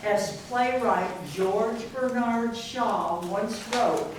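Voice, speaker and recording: A woman's voice: fairly high pitch, very slow. A woman giving scripted narration for a readers theater performance. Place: library readers theater performance, distant microphone. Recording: very echoey, distant mic, faint noise.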